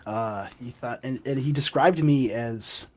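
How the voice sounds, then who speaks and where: Hoarse, gruff young man with a cold. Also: medium pitch, a little slow, a little mellow. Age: young adult. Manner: emphatic, with frequent "right?" tags. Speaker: guest author. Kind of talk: conversational interview.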